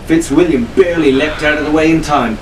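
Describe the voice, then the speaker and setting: A man's voice: a little high, fairly quick, distant mic, noisy background. A man reading an adventure story aloud as a storyteller, in dramatic scripted audiobook narration.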